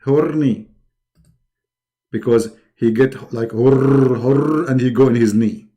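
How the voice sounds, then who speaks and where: A man's voice: medium pitch, measured pace, warm tone. A man speaking English as a second language, hosting a live-stream debate in a sarcastic, mocking way and repeating words for emphasis. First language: not English.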